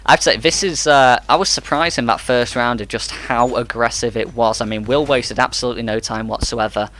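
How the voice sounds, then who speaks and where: A man giving energetic, rapid play-by-play commentary on an esports match. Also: medium pitch, slight background noise.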